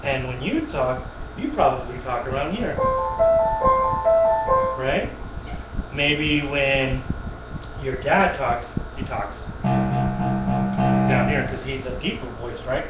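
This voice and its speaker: A man's voice: mid-range voice, big pitch swings, slow pace, pauses often. Patient man teaching piano over webcam. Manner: patient, encouraging, repeats things and praises often. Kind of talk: one-on-one children's music lesson. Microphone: webcam from a distance. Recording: echoey room, distant dull webcam mic, music.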